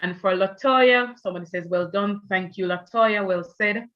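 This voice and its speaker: A woman's voice: medium pitch, normal speed, lo-fi sound. A woman with a Jamaican accent hosting an online panel, talking loosely with many "you know" fillers. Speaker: event host.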